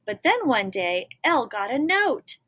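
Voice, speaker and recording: Woman reading a kids picture book out loud, animated highish voice, explains as she goes. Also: a little slow. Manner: expressive, explanatory. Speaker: read-aloud storyteller.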